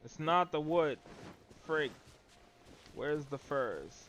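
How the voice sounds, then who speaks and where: A man's voice: a little high, animated, slow pace, dull audio. A man doing casual, offhand gameplay commentary as a streamer, in slangy reactions and mutters. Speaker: game streamer. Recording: clearly noisy stream audio.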